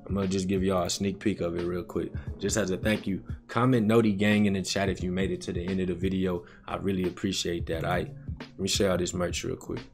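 Young man, low register, casual slang-heavy game reaction host, music underneath. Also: level tone, brisk. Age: young adult. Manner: slangy, conversational, addressing chat. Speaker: gaming video host reacting to cutscenes. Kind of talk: casual reaction commentary to viewers.

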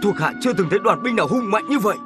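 An awed, watchful village scout in a historical cartoon. His voice is high for a man, with clear music behind it. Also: lively intonation, racing.

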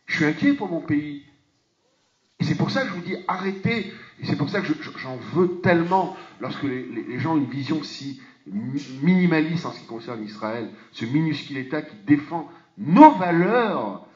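A man's voice: fairly high pitch, lively intonation, normal speed. A middle-aged man speaking to an audience in a conference hall on a handheld mic, passionate and emphatic, with rhetorical questions and frequent restarts. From France. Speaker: parliamentarian speaker on a panel. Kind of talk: impassioned public speech. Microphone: handheld microphone. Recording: echoey hall, dull lo-fi sound.

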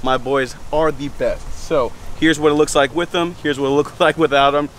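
Young guy fishing outside, chatty and hyped, a bit high voice, faint noise behind. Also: moderate pace. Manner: excitable, chatty, talks to viewers while fishing. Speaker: fishing channel host. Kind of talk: casual fishing vlog, talking to viewers. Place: outdoors by a lake and a pool.

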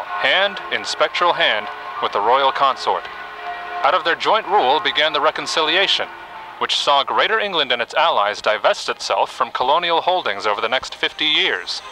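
A man playing a documentary narrator, reading scripted history in a measured, formal manner. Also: medium pitch, lightly acted, poor audio.

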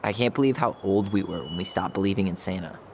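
A man's voice: sits low, fast talker, a little hiss or hum. An actor playing a wry, nostalgic young American man in naturalistic, casual video game dialogue. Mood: wistful, reflective. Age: young adult.

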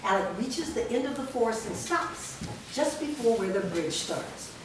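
A woman's voice: on the high side, expressive, normal speed. A woman reading scripted stage directions aloud as the narrator of a staged reading. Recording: echoey room, far mic, clear noise.

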